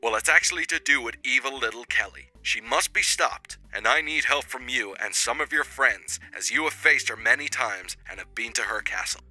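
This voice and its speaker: A man playing a grave covert-agency commander in a game roleplay, formal, deliberate and urgent. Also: mid-range voice, sharp tone, soft background music, low-quality recording. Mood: grave, secretive. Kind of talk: cartoonish game roleplay acting.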